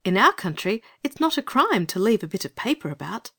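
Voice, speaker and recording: Man voicing a gentle curious young girl. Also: high voice, big pitch swings, brisk, husky, voice pushed up, heavily acted. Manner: gentle, questioning girlish voice. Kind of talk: storybook read-aloud.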